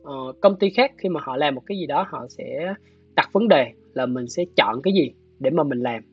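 Male interview guest, southern Vietnamese accent, husky and measured, muffled video-call sound with guitar music behind. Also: fairly high pitch, expressive. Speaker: guest, animation director. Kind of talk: explanatory interview talk. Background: soft plucked guitar music.

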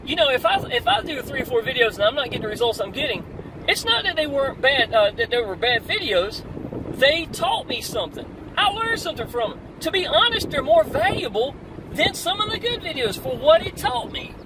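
A middle-aged man giving an energetic, preachy motivational talk to an online marketing audience while driving, repeating "y'all" often. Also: very high voice, fairly quick. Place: open-top convertible car outdoors. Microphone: phone camera at arm's length. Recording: wind and road noise, mic at a distance.

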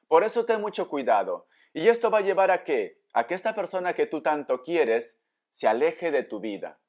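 A man giving an informal tarot reading straight to viewers, explaining as he goes and answering his own questions. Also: a little high. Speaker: tarot reader, host. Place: indoor room with books at a table.

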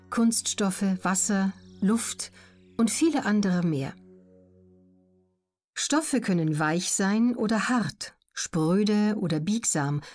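A woman reading scripted documentary narration for an audiobook in a measured, clearly enunciated way. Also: mid-range voice, a little mellow.

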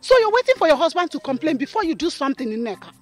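A woman's voice: high voice, sing-song, very fast, soft background music. A woman playing a blunt, meddling friend in a film drama, with a Nigerian accent and an emphatic, advising manner. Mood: pressing.

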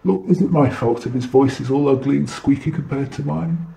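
A man acts out a vain alien telepath in a comic audio drama. He is smug and theatrical, boasting and easily sidetracked, with a mellow, relaxed tone and a creaky, gravelly edge. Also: medium pitch, a little fast, a little hiss or hum, natural voice. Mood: boastful. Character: vain, boastful, deep-voiced alien relaying messages telepathically.

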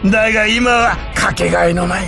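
A man's voice: high-pitched, a little fast, vocal fry, music in the background. A man voicing a sentimental, melodramatic biker beast giving a parody commercial testimonial in a game. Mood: mock heartfelt.

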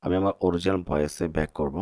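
A man voicing a devil through an AI voice changer while explaining software options. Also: steady tone, racing, no room sound, clean studio sound. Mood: matter-of-fact. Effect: pitch-lowering AI voice changer. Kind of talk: software demonstration.

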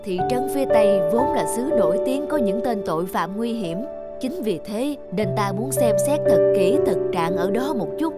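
A woman voicing a stern, fair-minded middle-aged male governor in a cartoon, speaking formally and with measured authority. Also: mid-range voice, animated, lightly acted. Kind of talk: scripted cartoon dub. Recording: music under the dialogue.

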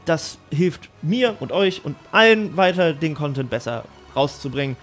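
A man hosting a video, delivering energetic scripted commentary and addressing viewers directly and enthusiastically. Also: fairly high pitch, moderate pace, soft background music. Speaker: YouTube host.

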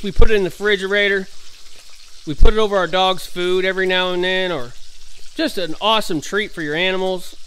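Folksy farm vlogger, bright clear voice. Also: male voice, high-pitched. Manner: folksy, enthusiastic, talks to viewers as guys. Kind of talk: casual cooking vlog. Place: indoor home kitchen.

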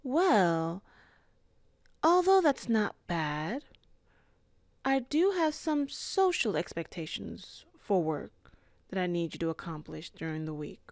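Cool female boss, woman voicing her, lowish voice, calm and measured, dry close mic. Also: no room sound, full character voice. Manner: calm, measured, softly flirtatious. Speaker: ASMR roleplay performer. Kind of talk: ASMR roleplay.

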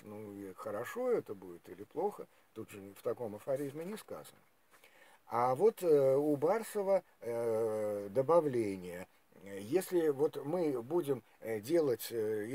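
A middle-aged man gives a lecture in a mellow, warm-toned voice. He talks unscripted, stopping often and filling the gaps with hesitation sounds while he searches for the next word. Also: mid-range voice. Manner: hesitant, frequent pauses and filler sounds.

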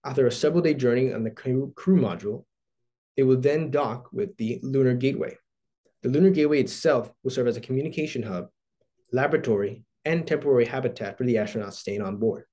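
A man's voice: mid-range voice, quick pace, warm tone. A teenage boy from Texas giving a scripted school presentation over a video call, reading steadily from his notes. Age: teens. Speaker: student presenter.

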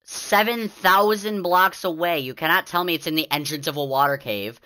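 A teenage boy streaming a game, with a squeaky voice, chatting and bickering excitably with friends. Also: normal speed, clear, resonant tone. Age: teens. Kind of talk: casual gaming chat with friends.

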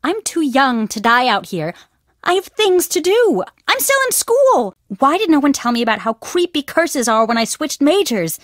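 A woman's voice: sits high, big pitch swings, dry recording, clean studio sound. An actress playing a nervous, superstitious young woman student in a video game, fretful and easily spooked. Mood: anxious. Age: young adult. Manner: anxious, fretful, quick to panic. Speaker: game character, student on a dig.

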